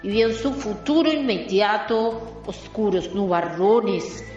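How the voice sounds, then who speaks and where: A woman's voice: mid-range voice, lively intonation, a little mellow, dull audio. A woman playing a mystical fortune-teller with solemn, dramatic delivery in a parody radio soap. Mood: solemn, prophetic. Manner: slow, portentous, dramatic delivery. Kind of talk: absurd parody radio soap opera acting. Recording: heavily echoing, distant, music behind.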